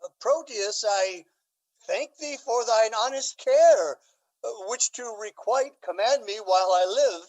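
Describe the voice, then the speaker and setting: A courtly older Duke, played by a man, speaks in a halting, stately way. The delivery is formal Shakespearean verse for radio drama. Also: high voice, animated, a little mellow, low-quality recording. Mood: guarded.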